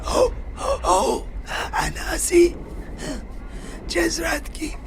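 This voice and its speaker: Casting: frail, dying fantasy outcast woman, sobbing in pain, extremely slow, swooping pitch, noisy backdrop. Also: expressive, heavily acted. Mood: anguished. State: crying, in pain. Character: frail, sick, huddled githzerai woman in agony. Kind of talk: audio drama acting. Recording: clearly noisy background.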